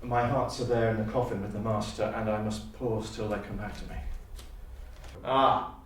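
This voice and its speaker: A man performing a noble, solemn orator with measured, formal, theatrical Shakespearean delivery. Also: lowish voice, fairly even intonation, close to an everyday voice. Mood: lofty, sardonic. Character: solemn noble male orator mourning a fallen rival. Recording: very echoey room, distant mic, faint noise.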